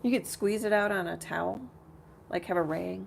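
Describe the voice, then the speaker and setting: A woman with a slightly rough voice hosting a casual live painting tutorial, thinking aloud in a rambling way as she works. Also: on the low side, steady tone, normal speed, a little hiss or hum. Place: home art studio.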